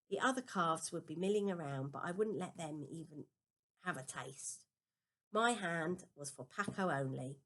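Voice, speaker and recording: Lower-pitched woman reading a storybook aloud. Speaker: amateur audiobook reader. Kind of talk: storybook narration, first-person children's novel read aloud.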